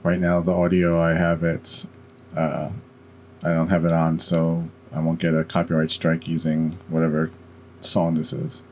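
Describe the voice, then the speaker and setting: Very low-voiced man giving a tutorial. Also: monotone, a little slow, a little mellow, slight background noise. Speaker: tutorial host. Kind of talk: step-by-step screen-recorded software tutorial.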